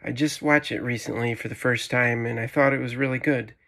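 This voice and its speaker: Young male guest reviewer, average pitch, casual talk-to-camera delivery. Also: steady tone, a little fast, creaky, a bit bright. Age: young adult. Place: small room at home, indoors.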